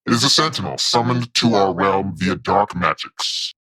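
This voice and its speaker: A man voicing an ancient, imperious god of death in monstrous form, grave and formal, with a gravelly growl. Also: low-pitched, moderate pace, pushed and bright, dry recording, low-quality recording.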